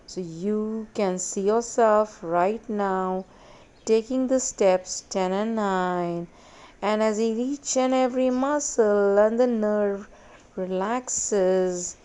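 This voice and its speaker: Very slow female meditation guide. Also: mid-range voice, mellow. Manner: slow, measured, repetitive instructions with long pauses. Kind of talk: guided meditation, hypnotic induction.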